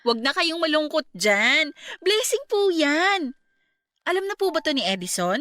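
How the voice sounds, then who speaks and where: A woman acting a polite young niece in a radio drama, reassuring and respectful, over soft music. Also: sits high, big pitch swings, normal speed, dry recording, studio quality, heavily acted. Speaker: niece about to work abroad.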